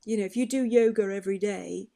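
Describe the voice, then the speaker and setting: A woman's voice: mid-range voice, fast, vocal fry, mellow. A woman who is a vocal health expert, explaining singing advice in a chatty way during an online question session. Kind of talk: online forum Q&A.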